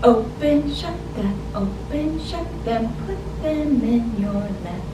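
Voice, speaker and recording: A woman's voice: fairly high pitch, lively intonation, takes it slowly. A woman storyteller reading a picture book to young children in a sing-song, child-directed way. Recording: roomy, distant mic, faint noise.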